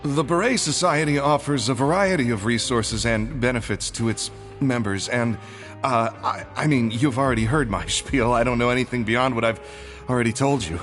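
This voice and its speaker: A man's voice: medium pitch, moderate pace, fairly bright tone, soft background music, natural voice. An actor playing an anxious, evasive male society clerk who stammers and apologizes.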